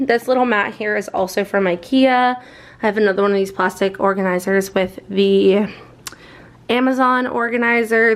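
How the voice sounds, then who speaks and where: A young American woman with a slightly hoarse voice, chatting casually through a makeup vlog. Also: mid-range voice. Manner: chatty, upbeat, fast-talking. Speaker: beauty vlogger.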